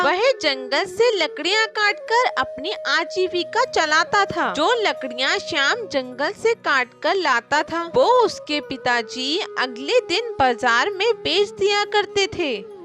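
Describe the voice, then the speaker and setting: Very high, bright woman storyteller. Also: sing-song, takes it slowly, few pauses. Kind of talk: scripted story narration. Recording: music behind the voice. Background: background music.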